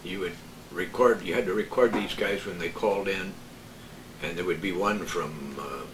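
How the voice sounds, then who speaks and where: Deep older man, noisy recording. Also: moderate pace, echoey room. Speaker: former radio worker. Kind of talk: casual reminiscing. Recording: noisy, distant microphone.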